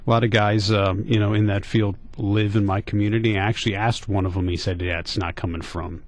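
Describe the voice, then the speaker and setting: A man's voice: lowish voice, steady tone, brisk. A middle-aged American man hosting talk radio, telling a casual story in a conversational, questioning way and repeating points for emphasis. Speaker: radio talk show host. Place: radio studio.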